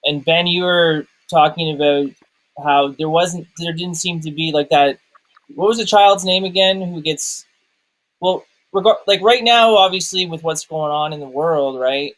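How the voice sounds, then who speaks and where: A man's voice: a little high, measured pace, a bit bright, rough recording. A man talking casually about films as a podcast co-host, searching for words and rephrasing questions as they come. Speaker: film review podcast co-host. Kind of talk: casual movie discussion.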